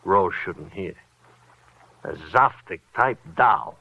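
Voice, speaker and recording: Animated New York cabbie, old radio. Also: male voice, low-pitched, slow pace, creaky, no room sound, heavily acted. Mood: confiding. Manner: expressive, effusive, homely. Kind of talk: 1940s radio acting. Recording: dull vintage 1940s radio recording.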